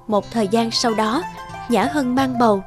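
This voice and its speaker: A woman's voice: a little high, normal speed. A woman reading scripted storybook narration for a cartoon. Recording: music behind the voice.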